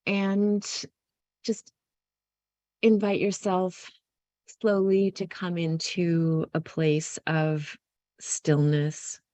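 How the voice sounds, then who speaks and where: Woman guiding a meditation, really slow w lots of pauses, lowish voice, dry close sound. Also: flat delivery, no room sound. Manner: unhurried, pausing mid-phrase, softly inviting. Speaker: guided meditation leader. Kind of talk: guided meditation, gentle spoken instruction.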